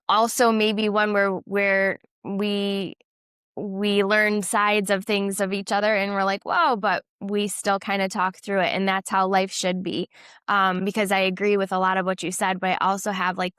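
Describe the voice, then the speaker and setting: A podcast co-host, she sounds nasal while getting over a cold. She speaks earnestly and prayerfully, in a smooth, clear voice at an ordinary pitch, recorded close and dry. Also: no room sound. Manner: earnest, warm-hearted, faith-filled. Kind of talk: candid casual chat.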